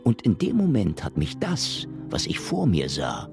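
An actor playing a world-weary, wisecracking private eye in a noir radio drama, sarcastic, cocky and theatrical. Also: male voice, deep voice, huge pitch swings, a little slow, creaky, over music, exaggerated voice. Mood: rueful narrating. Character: world-weary, wisecracking hard-boiled male detective Marlow.

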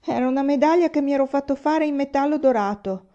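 A woman's voice: high-pitched, fast, dark tone, dull audio, voice pushed up, lightly acted. A woman voicing a boastful old male general who talks categorically and whines like a complaining boy. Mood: whining. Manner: categorical, then whining like a boy.

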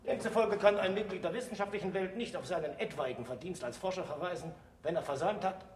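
An actor in a German radio play voicing a disgraced, self-accusing scholar who lectures in a solemn, deliberate, oratorical way. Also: male voice, high-pitched, lightly acted. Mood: earnest, insistent. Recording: noisy old radio play recording.

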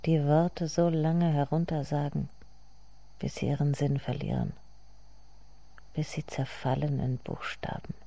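An actor voicing a brooding inner voice in a poetic radio drama monologue, hushed and incantatory. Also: medium pitch, little pitch movement, measured pace, creaky, pauses often.

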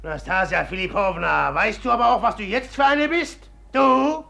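A man's voice: sits high, vocal fry, exaggerated voice. An actor playing a brooding, jealous, obsessive man in a German radio drama, with intense delivery. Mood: furious, threatening. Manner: slow, intense, swinging from murmurs to shouting.

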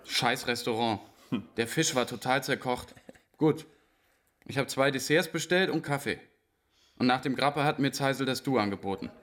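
Male lead, radio drama, played by an actor: wry, grieving, confiding, medium pitch. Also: moderate pace, long pauses, fairly bright tone, natural voice. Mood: dry, irritated. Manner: reflective, dry, confiding. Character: abandoned man, architect, bitter, wry, quietly grieving. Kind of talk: interview-like radio drama monologue.

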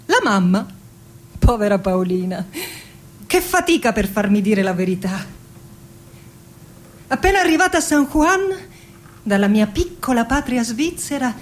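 High voiced woman poet telling childhood memories, theatrical radio drama monologue, playful and confessional. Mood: wry, reminiscing.

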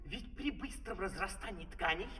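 An actor playing a self-important, officious courtier in theatrical dialogue from a comic fairy-tale opera on an old record. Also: male voice, high-pitched, expressive, moderate pace, bright, forceful tone. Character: self-important male courtier vying to be examiner. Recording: distant reverberant old vinyl, music.

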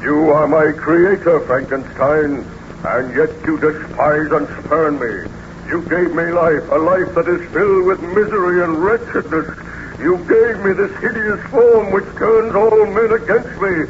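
An actor playing an embittered, wretched monster in a 1930s radio serial, melodramatic and formal, pleading and menacing by turns. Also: male voice, on the high side, unhurried, close to an everyday voice. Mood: anguished, bitter. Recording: noisy, muffled 1930s radio recording.

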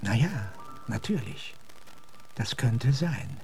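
An actor playing a middle-aged, philosophical gentleman and former officer in a German radio drama, speaking formally, deliberately and thoughtfully. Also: mid-range voice, big pitch swings, soft background music. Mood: concerned.

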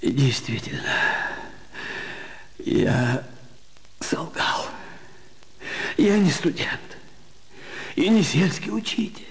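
High-voiced, pleading ragged beggar. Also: male voice, big pitch swings, very slow, creaky, pauses often, full character voice. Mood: pleading, ashamed. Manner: pleading, repeats himself, falters. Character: ragged drunken beggar man, pleading and self-justifying. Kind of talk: dramatised literary radio play in Russian.

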